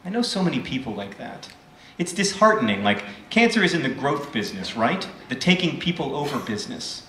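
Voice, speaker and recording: A man's voice: medium pitch, very animated, fairly quick, bright, forceful tone. A man voicing a reserved, wry, bookish teenage girl in a live novel reading, with hesitant, dry delivery. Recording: roomy live event, faint background noise.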